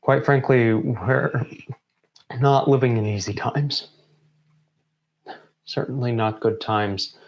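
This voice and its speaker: A man delivering a prepared closing address in deliberate phrases broken by pauses. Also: mid-range voice, fairly even intonation, low-quality recording. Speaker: member of a student theatre group giving closing remarks.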